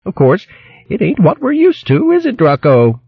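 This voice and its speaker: A shrill, sharp-tongued hedgehog matron, as a male reader puts her on, scolding in rough country talk with a high, swooping voice. The sound is dry and a little dull. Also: huge pitch swings, moderate pace, no echo, full character voice. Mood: bossy. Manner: scolding, rustic country speech. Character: fierce bossy female hedgehog wife, sharp-tongued.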